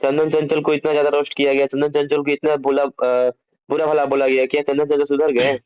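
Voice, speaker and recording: A man's voice: fairly high pitch, flat delivery, fairly quick, clear, resonant tone. A man, a journalist interviewing by phone, challenging and scolding, often repeating his questions. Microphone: telephone. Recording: tinny radio-like phone-call recording.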